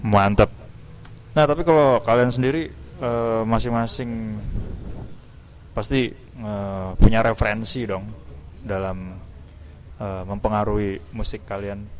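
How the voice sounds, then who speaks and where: A man's voice: lowish voice, steady tone, slow pace, pauses often. A man hosting a casual radio music interview. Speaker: radio host.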